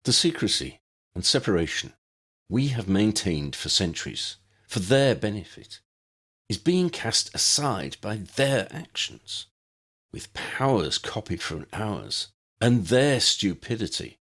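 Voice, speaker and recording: A man voicing a charismatic wizard agitator in an audiobook, making a grave, rallying speech with emphatic stresses and dramatic pauses. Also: fairly low pitch, big pitch swings, a bit bright, slightly rough, full character voice. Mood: solemn rallying. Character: charismatic male wizard demagogue rallying a meeting, impassioned and grave.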